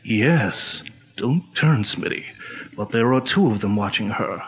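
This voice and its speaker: An actor playing a calm, commanding male crime-fighter chief in a 1940s-style radio drama, speaking in a measured, steady way. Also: lowish voice. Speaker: lead actor. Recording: dull lo-fi old radio recording.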